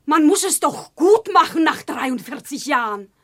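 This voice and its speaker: An actress playing an impassioned, defiant shopkeeper's wife in Paris, pleading dramatically in a classic German radio play. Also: female voice, sits high, very animated, unhurried, fairly bright tone, heavily acted.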